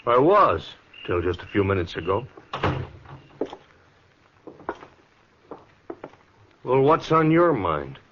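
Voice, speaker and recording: A man's voice: on the low side, very animated, moderate pace. An American man playing a jealous, hot-headed killer in a 1950s radio western, bitter and agitated. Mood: wary. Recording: dull, noisy 1950s radio recording.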